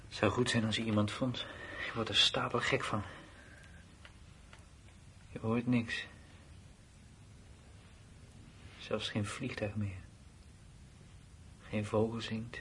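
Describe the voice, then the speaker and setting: An actor playing a blunt, uneasy soldier in a Dutch radio drama, with plain, colloquial, matter-of-fact delivery. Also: male voice, on the low side, little pitch movement, a little slow, long pauses, bright, forceful tone. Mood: bewildered, aggrieved. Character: blunt, uneasy male soldier left behind at his post. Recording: noisy old radio play recording.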